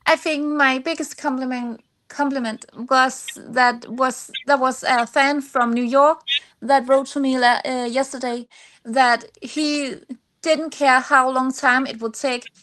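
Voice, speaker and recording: A woman's voice: high voice, steady tone, fairly bright tone. A woman from Denmark with a Danish accent, answering interview questions conversationally and searching for words in her second language. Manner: halting, searching for words in non-native English. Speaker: singer-songwriter, podcast guest.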